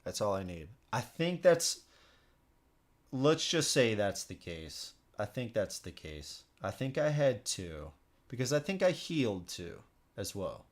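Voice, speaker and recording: Casual man thinking out loud while gaming. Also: lowish voice, very animated, measured pace. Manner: informal, thinking out loud, repeats himself. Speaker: streamer hosting a solo board game playthrough. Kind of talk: casual live stream commentary while playing.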